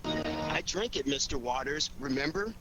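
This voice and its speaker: An actor playing a cool, confident amateur detective in a 1940s radio drama, with a theatrical, commanding delivery. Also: male voice, a little high, lively intonation, unhurried, gravelly, bright, forceful tone, soft background music, exaggerated voice. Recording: noisy 1940s radio recording.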